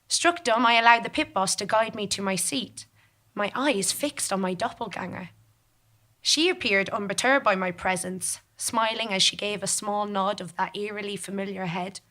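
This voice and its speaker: A woman with a bright, forceful voice at an average pitch tells a story in the first person. Her delivery is deliberate and formal, pitched as dramatic stage narration. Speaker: monologue performer. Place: theatre stage.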